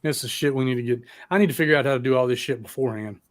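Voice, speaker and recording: A man with an ordinary mid-pitched voice hosts a live stream from home, talking loosely and cursing often. He rambles, restarts his sentences and gets excited about the music he loves. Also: racing, noisy background. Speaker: podcast host. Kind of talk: casual live-stream chat.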